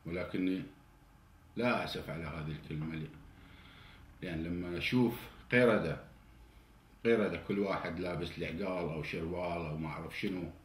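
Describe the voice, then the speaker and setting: A man in his forties with an Iraqi Arabic accent, delivering an indignant political monologue straight to the camera. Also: deep voice. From Iraq. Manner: indignant, grows heated and louder. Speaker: political commentator. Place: indoor study with flag and cabinet. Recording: roomy indoor echo, faint hiss.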